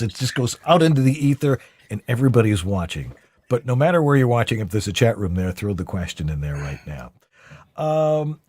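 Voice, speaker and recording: A man's voice: medium pitch, a little mellow, slightly rough. A man hosting a talk show, interviewing a guest in a casual, conversational way.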